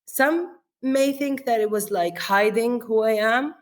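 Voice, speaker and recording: A woman's voice: on the high side, measured pace. A woman, a chef being interviewed, talking in a reflective way with fillers, restarts and frequent laughs. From Israel. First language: Arabic. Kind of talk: relaxed conversational interview.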